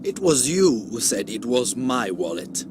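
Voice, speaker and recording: An actor playing a relaxed foreign con man in an English anime dub who mocks the other man easily. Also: medium pitch, unhurried. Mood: breezy. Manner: mocking, relaxed. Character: smooth foreign male con man, mocking. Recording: dub clip with music underneath.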